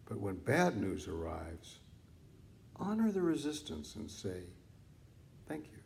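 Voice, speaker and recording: A man reading scripted meditation teaching like an audiobook author, in deliberate, measured phrases with pauses. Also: on the low side, very animated, creaky, darkish tone, a little hiss or hum. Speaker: author reading own book on mindfulness.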